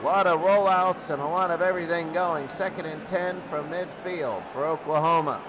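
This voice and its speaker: A man's voice: on the high side, normal speed, noisy background. A man hosting a hobby football game, explaining the rules and then calling the plays in an old-time radio announcer style.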